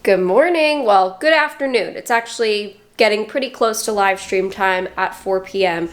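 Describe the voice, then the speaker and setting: Brief: upbeat woman vlogger at her desk at home, stuffy and slightly nasal, chatty and relaxed. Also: medium pitch, moderate pace. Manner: chatty, upbeat, talks to camera. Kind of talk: casual vlog chat. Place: home office.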